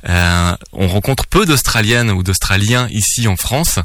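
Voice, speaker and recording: A man interviewing a guest, asking reflective questions in a measured way with some hesitation. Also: fairly low pitch, lively intonation, a bit bright, no room sound, studio quality.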